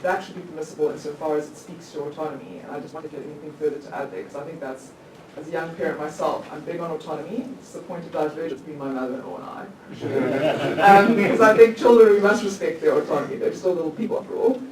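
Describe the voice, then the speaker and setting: A woman's voice: low-pitched, quick pace, few pauses. A woman in her thirties, a law lecturer, asking the panel a long question from the floor, chatty, with many restarts and little laughs at herself. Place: conference hall. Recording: echoey hall, distant mic, faint noise.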